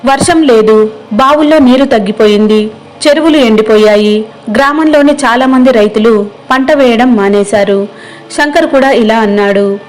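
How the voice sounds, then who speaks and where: A woman's voice: a little high, moderate pace, a little mellow, low-quality recording. A woman storyteller reading a scripted moral tale as narrator.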